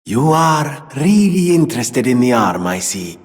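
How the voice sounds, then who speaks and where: An actor playing a vain, gracious, excitable gentleman inventor in his thirties, with pompous, florid, theatrical video game delivery. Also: medium pitch, measured pace, big-room sound. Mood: gracious, self-important. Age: 30s.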